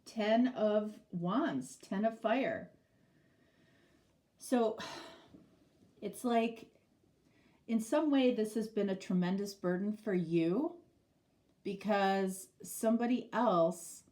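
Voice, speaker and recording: Middle-aged lady doing a tarot reading, stuffy nasal cold voice, slow and rambly with lots of you knows. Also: medium pitch, dark tone. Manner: rambling, many fillers. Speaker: tarot reader. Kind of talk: casual tarot reading to camera.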